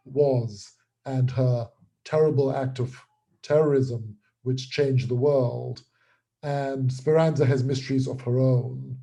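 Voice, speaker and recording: A man who is a writer and creator, explaining his work in an interview in articulate, deliberate phrases broken by pauses. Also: medium pitch, creaky, dark tone, husky.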